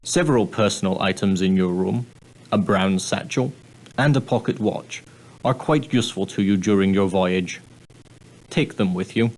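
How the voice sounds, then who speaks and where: Casting: male actor for prim male ship's steward, game role. Lowish voice, formal, deferential, precise, dryly polite. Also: moderate pace, darkish tone, lightly acted, rough recording. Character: prim, proper, straight-laced ship's steward on an ocean liner. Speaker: video game character. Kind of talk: scripted game dialogue, giving guidance.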